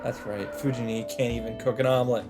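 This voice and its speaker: A man's voice: mid-range voice, steady tone, fast talker. Man reading a visual novel over music. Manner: mock-dramatic, interjects swearing and side remarks. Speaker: let's play host reading a visual novel. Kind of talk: reading game narration aloud with casual commentary. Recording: game music under the voice. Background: visual novel background music.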